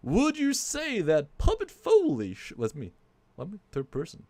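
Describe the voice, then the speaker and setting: A man's voice: sits high, wildly swooping pitch, unhurried, darkish tone, no room sound, pitched above the performer's own voice, heavily acted. A man voicing a grumpy male puppet, a former Shakespearean actor stuck with a put-on Southern colonel drawl, theatrical and insulting. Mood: blustering. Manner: theatrical, insulting, self-pitying bluster. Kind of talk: comic puppet bit on a livestream.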